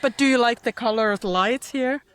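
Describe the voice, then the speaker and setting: A woman's voice: fairly high pitch, normal speed, smooth, dry recording. A woman with a Finnish accent, co-hosting a casual podcast, giggly and playful, often chiming in to agree. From Finland. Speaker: podcast co-host.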